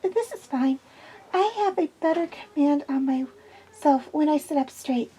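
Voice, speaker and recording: A woman voicing a nervous, jumpy young woman who speaks haltingly. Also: high voice, warm tone, pitched above the performer's own voice, poor audio. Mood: anxious. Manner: anxious, halting.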